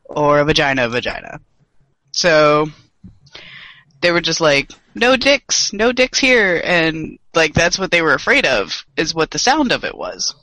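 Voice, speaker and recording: Man, young, dry-voiced, bright and forceful tone, joking, swearing, dry close sound. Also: a little high, very animated, unhurried, dry recording, low-quality recording. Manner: loose, joking, swears freely. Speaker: podcast co-host. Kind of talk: casual chat.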